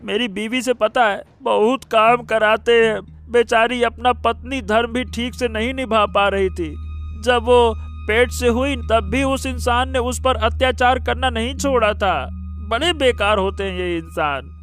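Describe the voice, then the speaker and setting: Cartoon donkey, male actor: high, unhurried, comical schemer with theatrical patter. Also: fairly bright tone, faint music behind, full character voice. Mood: scheming. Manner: drawn-out exclamations, theatrical patter. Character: cunning, comical talking donkey, cheeky and dramatic. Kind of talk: Hindi cartoon story acting.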